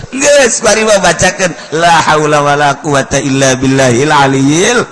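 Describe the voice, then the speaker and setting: An older man with a Sundanese accent giving a humorous sermon, telling animated stories, breaking into laughter and shouts. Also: a little high, normal speed. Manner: animated storytelling, shouting and laughing at his own jokes. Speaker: Islamic preacher.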